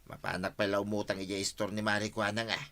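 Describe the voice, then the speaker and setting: A man's voice: fairly low pitch, little pitch movement, fast, sharp tone. A man playing a penniless, wisecracking husband in an Ilocano radio comedy-drama, speaking with an Ilocano accent. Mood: cheeky, welcoming. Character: penniless, joking husband who buys on credit.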